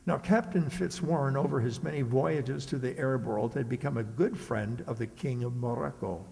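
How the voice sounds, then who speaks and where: A man's voice: mid-range voice, moderate pace, creaky, mellow. A man narrating a scripted children's radio story as a theatrical storyteller. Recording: reverberant hall sound.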